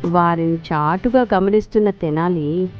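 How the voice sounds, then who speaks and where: A woman's voice: fairly low pitch, unhurried. Muffled woman narrating children's story. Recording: muffled lo-fi, music underneath.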